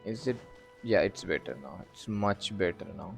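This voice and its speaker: A man's voice: low voice, expressive, moderate pace, gravelly, faint music behind. A man commentating casually on a game playthrough as a streamer, musing aloud in an offhand way. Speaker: gaming streamer.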